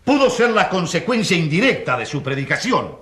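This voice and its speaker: A pompous radio-drama prosecutor with a high voice that swings widely as he accuses. He is indignant and sarcastic, and the room echoes around him. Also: sing-song, a little fast, fairly bright tone. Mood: smug accusing. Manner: pompous, rhetorical. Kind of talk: radio drama courtroom oratory. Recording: roomy, echoing courtroom sound.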